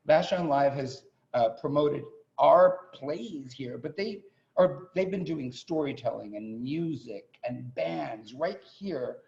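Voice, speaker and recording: A man hosting a show with an informal welcome speech, halting and often repeating his words. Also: medium pitch, creaky, darkish tone. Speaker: host introducing a theatre show. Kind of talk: informal welcome speech with thanks and shout-outs. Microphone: video call. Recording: muffled video call audio.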